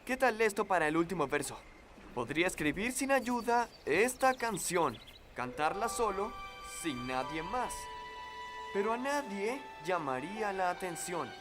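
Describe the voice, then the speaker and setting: A woman voicing a gentle, tentative young boy in a children's cartoon dub. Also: medium pitch, sing-song, measured pace. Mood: gentle, hopeful. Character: mild, hesitant young boy, agreeable friend. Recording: music behind the dialogue.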